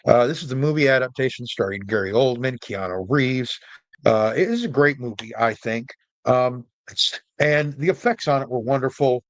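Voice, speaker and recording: A man's voice: mid-range voice, moderate pace, no echo. A man hosting a storytelling show in a casual, chatty way, with jokey, rambling asides. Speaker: host and storyteller. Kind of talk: casual explainer monologue.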